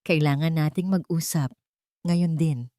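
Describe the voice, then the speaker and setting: A woman's voice: sits low, fairly even intonation, a little slow, warm tone, dry recording. A scheming young bride-to-be with money on her mind, as a woman storyteller puts her on. She is jealous and theatrical, able to turn on menace or tears. The sound is dry, fit for a romance audio drama. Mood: cold menace. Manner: melodramatic, menacing or sobbing. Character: scheming, jealous rich fiancée, cold and tearful.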